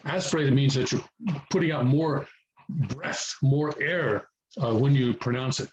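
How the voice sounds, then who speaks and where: A man's voice: mid-range voice, unhurried, darkish tone, rough recording. A man whose first language is English, patiently teaching how to read Korean letters in a casual home tutorial, repeating himself and checking in often. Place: home near a highway, passing traffic.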